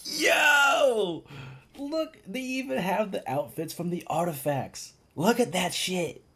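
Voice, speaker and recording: A woman live-streaming a game, reacting in an excitable, casual, foul-mouthed way. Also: mid-range voice, takes it slowly, slightly rough.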